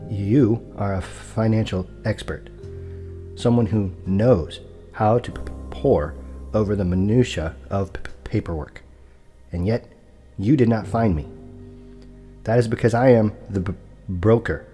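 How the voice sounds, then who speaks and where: An actor playing an unflappable, formal property broker in an audio drama, polite and precise, with a stutter on hard consonants. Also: male voice, fairly low pitch, a little slow, long pauses, warm tone, soft background music, natural voice. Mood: quietly firm.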